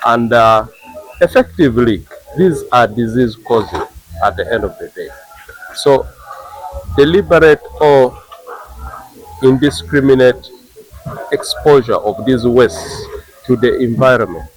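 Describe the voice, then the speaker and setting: A man with a Ugandan English accent and a mid-pitched voice explains in short, measured phrases. He pauses between ideas, with a few fillers. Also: lively intonation, a little hiss or hum, rough recording. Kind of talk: explanatory talk.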